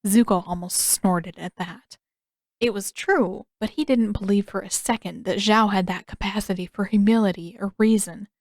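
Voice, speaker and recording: A woman's voice: mid-range voice, moderate pace, darkish tone, no room sound, clean studio sound. A woman reading scripted fiction as the narrator of an audio story.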